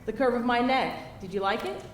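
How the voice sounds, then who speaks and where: A woman's voice: on the high side, moderate pace, close to an everyday voice. A woman playing a shy, self-conscious divorced woman in her late thirties in a live screenplay reading. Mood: tender, flirtatious. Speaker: actress in a live table read. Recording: echoey hall, distant mic, faint noise.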